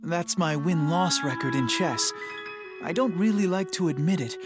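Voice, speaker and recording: An actor playing a proud, soft, artistic young prince in an English anime-dub audio drama, polished and genteel. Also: male voice, fairly high pitch, lively intonation, moderate pace, music in the background, close to an everyday voice. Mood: reflective.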